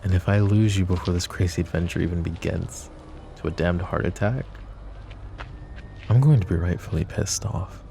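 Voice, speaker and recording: Male VA as a possessive mafia boss, very deep and gravelly, soft intimate delivery, faint music underneath. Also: moderate pace, a little hiss or hum. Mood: protective. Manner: soft, intimate, addresses listener directly. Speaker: ASMR roleplay voice actor. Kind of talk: boyfriend ASMR roleplay.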